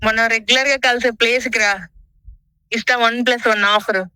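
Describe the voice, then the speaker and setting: A woman's voice: fairly high pitch, steady tone, fairly quick, bright, forceful tone, clean tone, no room sound. A woman scolding her boyfriend on a phone call in an indignant, confrontational manner.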